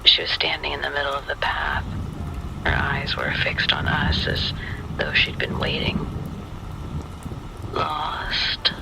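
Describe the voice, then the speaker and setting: Slow, gravelly man narrating horror. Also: on the high side, noisy background. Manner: hushed, suspenseful, deliberate. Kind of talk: first-person scripted spooky narration.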